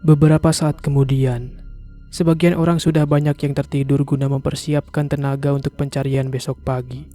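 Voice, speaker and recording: A man's voice: medium pitch, level tone, normal speed, warm tone, faint music behind. A man narrating a horror story in a scripted storytelling style.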